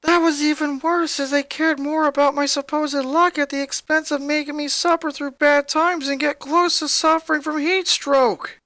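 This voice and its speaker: A woman's voice: sits high, fairly even intonation, moderate pace, hardly any pauses, a bit bright, dry recording, voice pushed up. A woman voicing an angry, hurt young boy in an emotional, emphatic fan fiction reading. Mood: agitated, upset. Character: angry, hurt young boy venting about his family.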